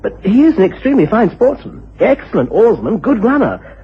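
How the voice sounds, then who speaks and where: An actor playing an anxious young upper-class English student in a radio drama, stammering and fussy. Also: male voice, high voice, big pitch swings, fairly quick, breathy edge, heavily acted. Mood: haughty, chatty. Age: young adult. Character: flustered, anxious young aristocratic male college student. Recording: muffled, hissy old radio recording.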